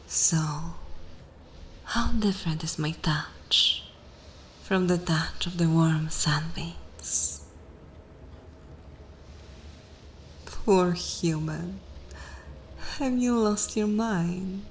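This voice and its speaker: A seductive vampire mistress played by a woman whispers in an intimate ASMR roleplay. Her breathy voice sits a little low and moves extremely slowly, with long, deliberate pauses. She is dominant and condescending, over a noticeable layer of background noise. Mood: tender, curious.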